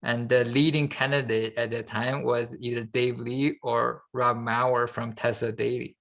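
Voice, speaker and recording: A man co-hosting a podcast in casual, unscripted chat, halting as he thinks aloud. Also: medium pitch, flat delivery, creaky, a bit bright. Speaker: podcast co-host.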